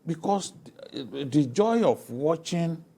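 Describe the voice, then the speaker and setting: A middle-aged man with a Nigerian accent in an unscripted panel discussion, speaking haltingly and repeating words. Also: fairly high pitch, lively intonation, vocal fry, mellow, breathy edge.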